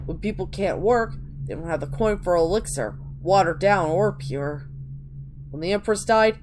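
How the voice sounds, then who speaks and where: A woman's voice: on the low side, darkish tone, slight background noise. A woman giving a theatrical dramatic reading of video game texts, stumbling over words and restarting now and then.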